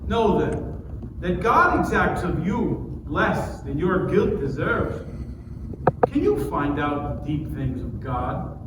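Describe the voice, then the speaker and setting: A man's voice: on the high side, sing-song, slow. A man playing a stern, accusing friend, admonishing in a declamatory dramatic scripture reading. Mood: stern rebuke. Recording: distant, reverberant hall with noise.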